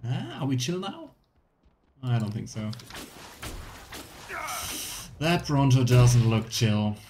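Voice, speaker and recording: A man's voice: mid-range voice, wildly swooping pitch, slow, mellow, a little hiss or hum. A young man giving casual, playful live commentary as he plays a video game, chatting to the game and viewers. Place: small indoor room at home. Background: game music.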